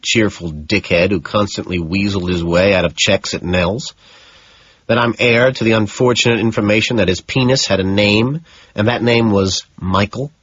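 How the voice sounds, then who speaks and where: A man's voice: low-pitched, fairly bright tone, slightly rough, highs cut off. A man reading scripted first-person audiobook narration.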